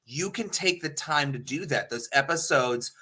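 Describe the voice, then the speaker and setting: A man's voice: a little high, expressive, moderate pace, sharp tone, husky. A man chatting casually and opinionatedly as a talk show panelist, rambling, emphatic and loose with swearing.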